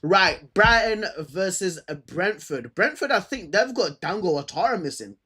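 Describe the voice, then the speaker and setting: A man's voice: high voice, expressive, a little slow, pushed and bright, slightly rough. A man with a stammer chatting casually and unscripted as he hosts a football preview, using slang and repeating himself as he thinks aloud. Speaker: football fan host previewing fixtures.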